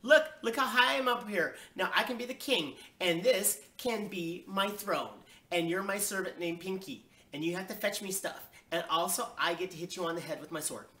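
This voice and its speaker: Male reader as kindergarten girl, storybook, bossy, excitable, bright, big pitch swings, childish phrasing, echoey. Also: normal speed, heavily acted. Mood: gleeful, bossy. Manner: emphatic childish grammar, exclaiming. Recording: roomy echo, distant microphone.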